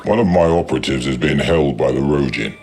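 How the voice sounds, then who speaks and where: An actor playing a haughty, menacing alien crime boss in a video game, with a gravelly voice and cold, deliberate threats. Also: male voice, extremely low pitch, music in the background. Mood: cold, contemptuous. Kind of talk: video game cutscene acting.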